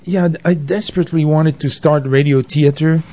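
A man being interviewed on radio, speaking off the cuff and haltingly as he searches for his words. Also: fairly high pitch, steady tone, mellow. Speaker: guest, community radio and arts centre founder. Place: radio station.

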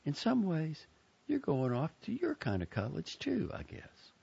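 A worried young woman who fusses over her little brother, her voice pitched high and strained, as a deep-voiced man puts her on. The tone swoops about a lot, with a gravelly edge under it. It sounds like a muffled, lo-fi audiobook recording. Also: wildly swooping pitch, normal speed, a little mellow, full character voice. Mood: wistful. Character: young woman, anxious protective older sister.

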